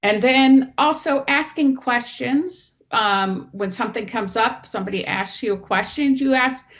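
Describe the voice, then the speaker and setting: A woman presenter with a loud teacher voice, giving an online training talk and walking through each slide. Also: on the high side, unhurried, highs cut off. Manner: explains clearly, walks through each slide. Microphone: online meeting audio.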